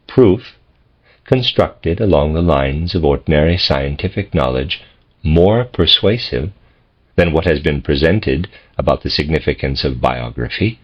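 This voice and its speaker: Man, low-pitched voice, scripted audiobook narration, deliberate phrasing, slightly muffled lo-fi sound. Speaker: audiobook reader. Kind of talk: scripted narration, reading a philosophical text. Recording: dull, lo-fi audio.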